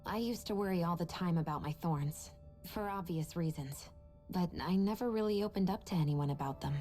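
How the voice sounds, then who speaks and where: An actress voicing a reserved, composed young woman in a video game cutscene, speaking in a measured way. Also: on the low side, mellow, faint music behind, natural voice.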